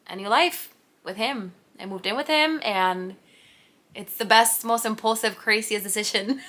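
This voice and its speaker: A woman's voice: mid-range voice, pushed and bright. A woman in her late twenties from Puerto Rico, a native Spanish speaker, chatting to camera at home in a rambling, giggly way. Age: 20s. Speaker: vlogger. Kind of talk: casual unscripted talk to camera. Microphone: camera mic.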